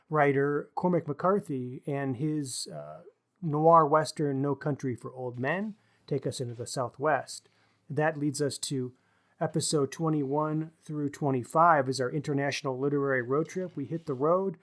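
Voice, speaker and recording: Dude co-hosting a podcast, kinda higher voice, wry, chatty announcements. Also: male voice. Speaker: podcast co-host.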